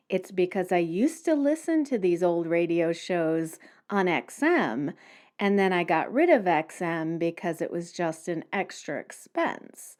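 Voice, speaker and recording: A woman's voice: fairly low pitch, darkish tone. A woman hosting a solo podcast, explaining things in a conversational way. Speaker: podcast host.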